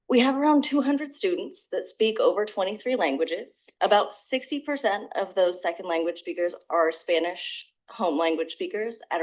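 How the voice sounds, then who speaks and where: Woman, soft-spoken, board meeting presentation, slightly low voice. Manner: soft-spoken, chatty with small slips. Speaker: English learner specialist.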